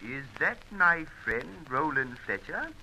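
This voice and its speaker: A sharp, sly mystery caller. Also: male voice, medium pitch, big pitch swings, a little slow, slight background noise, exaggerated voice, rough recording. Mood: smug. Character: sly, taunting anonymous telephone caller, affectedly theatrical. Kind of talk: old radio adventure serial acting.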